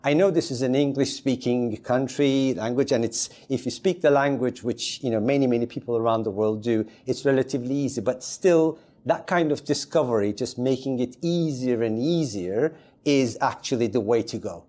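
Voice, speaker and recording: A man's voice: mid-range voice, normal speed, warm tone. A man from Turkey, a podcast guest, chatting casually and rambling with many fillers and restarts. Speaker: podcast guest, community advisor. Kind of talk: casual chat.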